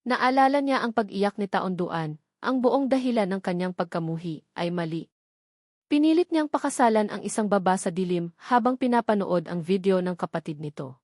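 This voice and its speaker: A woman's voice: mid-range voice, animated, moderate pace, darkish tone, no echo, clean studio sound. A woman reading a scripted romantic drama audiobook as its narrator.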